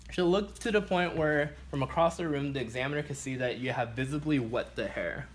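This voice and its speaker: A man's voice: medium pitch, fairly quick, slight background noise. A man teaching as a barbering instructor, explaining a practical demonstration step by step.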